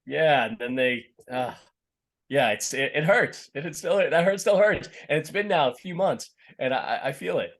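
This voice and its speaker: A man's voice: a little high, animated, moderate pace. A middle-aged man from Boston with a slight Boston accent, a guest chatting casually in an interview and often restarting his sentences. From Boston, USA. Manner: rambling, many restarts and fillers. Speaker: actor, podcast guest.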